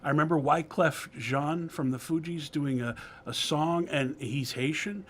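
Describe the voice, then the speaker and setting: Male co-commentator, American, slightly high-pitched voice, conversational political talk. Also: unhurried, a little hiss or hum.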